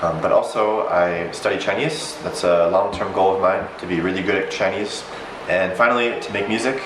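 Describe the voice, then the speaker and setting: A man's voice: sits low, normal speed, noisy background. A young man from Philadelphia, answering interview questions in an organized, thoughtful way. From Philadelphia, USA. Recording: roomy sound over background music.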